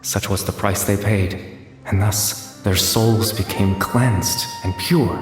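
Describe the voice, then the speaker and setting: A man voicing a gentle, solemn and mysterious storyteller, reciting poetically and soothingly for a fantasy game. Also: lowish voice, steady tone, takes it slowly. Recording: long echoing reverb under music.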